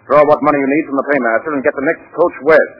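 Brief: assertive general, quick formal delivery, dull vintage radio sound. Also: male voice, on the high side, fairly even intonation, husky. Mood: brisk, formal. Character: brisk, authoritative Union army general. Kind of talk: 1940s radio drama acting. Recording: muffled 1941 radio broadcast.

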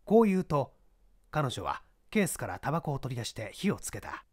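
A man's voice: medium pitch, sing-song, brisk. A man narrating a literary mystery story from a script as an audiobook reader.

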